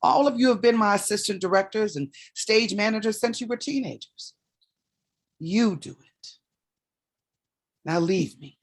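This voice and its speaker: A woman's voice: medium pitch, a little slow, long pauses, natural voice. A woman playing a weary, proud, commanding mother in a staged play reading. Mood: firm, commanding. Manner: blunt, commanding. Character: weary, proud mother, playwright at odds with her demons.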